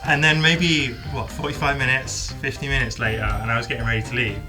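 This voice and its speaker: A man's voice: mid-range voice, quick pace, over music. A man from the south of England with a Southern English accent, telling stories in a casual panel chat. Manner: animated storytelling, rambling asides. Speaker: guest, videographer.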